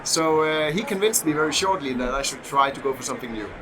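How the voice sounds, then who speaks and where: A middle-aged man from Denmark with a Danish accent, a podcast guest who talks in a halting way with many fillers and restarts. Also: medium pitch, animated, crowd noise. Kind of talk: casual podcast interview. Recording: noisy background hum.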